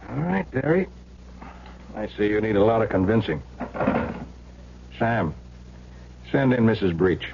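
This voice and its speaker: A man's voice: fairly low pitch, sing-song, a little slow, vocal fry, long pauses, exaggerated voice. A man playing a stern, methodical police lieutenant in a 1970s radio drama, firm and pressing. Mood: accusing. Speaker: actor. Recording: dull, noisy old radio recording.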